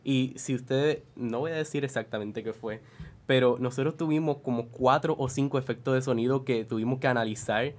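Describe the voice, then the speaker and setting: Mid-pitched man chatting. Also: fairly quick, darkish tone. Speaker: radio production team member, interview participant. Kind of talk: casual chat.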